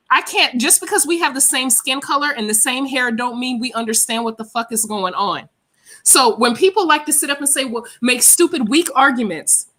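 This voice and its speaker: A woman with a bright, fairly high voice lays out her case in a heated debate. She is blunt and combative, stressing her points as if lecturing the listeners.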